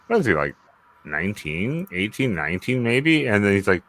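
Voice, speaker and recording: A man's voice: lowish voice, big pitch swings, normal speed, a bit bright, no room sound. A man in a casual livestream hangout chat, bantering and swearing freely. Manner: profane, bantering.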